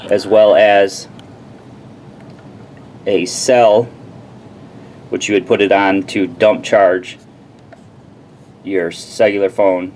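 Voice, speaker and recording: Lower-voiced man reviewing gear, noisy recording. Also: steady tone, slow pace, pauses often, a little mellow. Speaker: product reviewer. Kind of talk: casual product review and demonstration. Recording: clearly noisy background.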